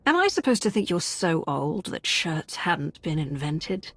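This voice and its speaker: An actress playing a tough, sceptical warrior in a video game, husky, dry and challenging. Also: female voice, sits low, very animated, measured pace, fairly bright tone, no echo, exaggerated voice. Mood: wry defiance. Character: tough, sceptical female warrior, wryly defiant. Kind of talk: dramatic video game acting.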